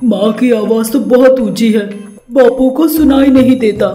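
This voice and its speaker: A woman's voice: a little high, unhurried, warm tone, lightly acted. A woman voicing an exasperated, complaining young village husband in a cartoon story. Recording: roomy echo, music underneath.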